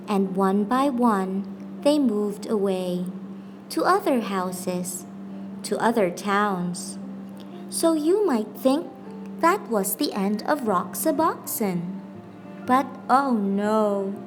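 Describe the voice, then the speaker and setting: A woman reading a children's picture book aloud as a teacher, with expressive, deliberate phrasing and pauses for emphasis. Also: mid-range voice. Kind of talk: scripted storybook narration. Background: background music.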